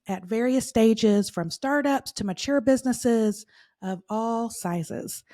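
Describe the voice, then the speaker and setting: A woman's voice: fairly high pitch, warm tone. A woman hosting a podcast, opening with a scripted intro and then chatting with an upbeat, encouraging manner. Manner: upbeat and encouraging, quick laughs. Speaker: podcast host.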